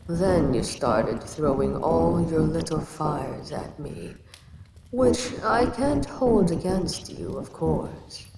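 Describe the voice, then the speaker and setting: A woman's voice: low-pitched, animated, slow, vocal fry, full character voice. A woman voicing an ancient giant female kaiju queen who speaks down to a tiny human she calls a pest. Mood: brooding. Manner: condescending, calls the listener pest. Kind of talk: audio roleplay acting. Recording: echoey reverb with ambient noise.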